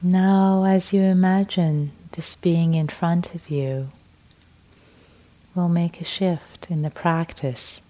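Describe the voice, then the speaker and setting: A middle-aged woman whose first language is Farsi, leading a guided meditation in a slow, soothing way with long pauses. Her breathy voice drifts at times into a whisper. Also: lowish voice, steady tone, dark tone. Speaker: meditation teacher.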